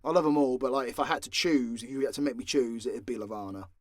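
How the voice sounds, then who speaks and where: A man's voice: medium pitch, fast talker, a little mellow, no room sound. A man chatting casually and candidly, swearing freely, as he answers questions off the cuff as a creator. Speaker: voice actor and audio creator answering fan questions. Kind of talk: casual unscripted Q&A talk.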